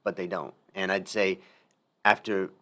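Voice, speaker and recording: A middle-aged man with a fairly low voice hosts a podcast conversation. He talks in a reflective, emphatic way and comes back to his main points more than once. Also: little pitch movement, a little slow, pushed and bright. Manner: reflective, emphatic, repeats key phrases. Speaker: podcast host. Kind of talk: casual interview talk.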